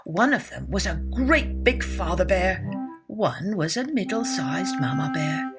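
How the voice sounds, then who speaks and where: A woman's voice: fairly low pitch, lively intonation, a little slow, over music. A woman narrating a children's fairytale as a podcast storyteller, expressively and with dramatic emphasis.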